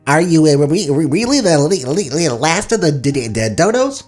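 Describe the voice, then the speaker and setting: A man's voice: fairly high pitch, sing-song, normal speed, darkish tone, faint music behind. A man voicing scratchy cartoon animal characters, a pig and a bird, with stammers, in a script table read. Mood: eager, stammering. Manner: stuttering. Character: stuttering cartoon pig and scratchy long-beaked dodo bird.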